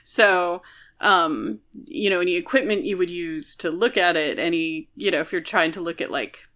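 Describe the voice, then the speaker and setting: Woman, podcast co-host, bright tone, conversational explainer, frequent "like", casual book chat. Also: on the low side, moderate pace.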